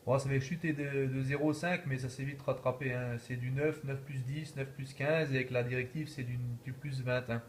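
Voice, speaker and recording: A man's voice: mid-range voice, steady tone, moderate pace, few pauses, slight background noise. A man talking casually as an amateur radio operator, repeating himself and peppering his talk with verbal tags. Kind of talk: casual radio contact chat.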